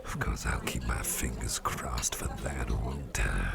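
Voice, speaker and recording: A man playing a friendly, affectionate office boss in an ASMR roleplay, murmuring in a breathy half-whisper and rambling gently as he repeats himself. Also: extremely low pitch, a little slow, heavy background noise, heavily acted. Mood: warm, grateful. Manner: hesitant, repetitive, gently rambling. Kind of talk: ASMR roleplay, intimate personal attention. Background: music.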